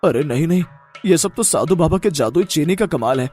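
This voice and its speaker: A man voicing a cheerful, humble jalebi seller and loving village husband in an animated moral story, speaking eagerly and reassuringly. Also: on the high side, fairly quick, faint music behind, lightly acted. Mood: happy, reassuring. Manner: eager, loud, reassuring, expressive.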